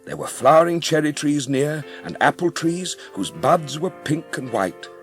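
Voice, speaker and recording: A man narrating a children's story in a measured, expressive way, as narrator of an audiobook drama. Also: a little high, faint music behind.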